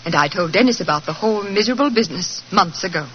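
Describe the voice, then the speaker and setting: A woman's voice: lowish voice, lively intonation, quick pace, close to an everyday voice. A woman playing a proud, poised wife under suspicion in 1940s radio style, with a formal, dignified manner. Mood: cool, defiant. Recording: noisy, dull old radio recording.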